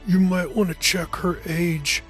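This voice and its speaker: A man voicing a badly beaten young man in an audiobook, with slurred, halting speech as he struggles to talk through swollen lips. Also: sits high, steady tone, a bit bright, soft background music, pitched above the performer's own voice. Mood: pained, strained. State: struggling to speak, injured. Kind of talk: audiobook character dialogue.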